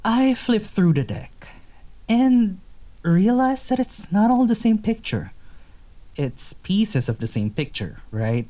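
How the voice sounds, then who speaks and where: A woman's voice: mid-range voice, big pitch swings, takes it slowly, dark tone. A woman acting a curious, easygoing young traveler in an audio drama, musing conversationally with some hesitation. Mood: musing, wonder.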